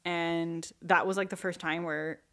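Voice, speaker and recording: A young woman and new mother telling her pregnancy story in a relaxed interview, with many fillers and restarts. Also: on the low side, a little slow. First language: not French. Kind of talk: personal testimony interview.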